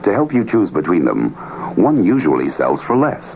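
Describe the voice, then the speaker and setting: Deep, very animated male radio pitchman. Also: normal speed, vocal fry, heavy background noise. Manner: persuasive, explanatory. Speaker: commercial announcer. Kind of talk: 1970s radio advertisement voice-over. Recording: tinny vintage radio recording.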